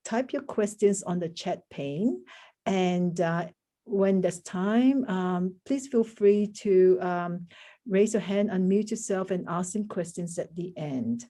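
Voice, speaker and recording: A woman's voice: fairly low pitch, takes it slowly, warm tone. A woman hosting an online session, giving an informal introduction with hesitant asides. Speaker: session host, moderator. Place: video call.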